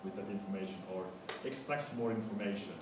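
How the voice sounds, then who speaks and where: A man giving an academic lecture in a halting, hesitant way, often repeating the starts of his words. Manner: hesitant, halting delivery with stammered word repetitions. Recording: very echoey room, distant mic, noisy rumble.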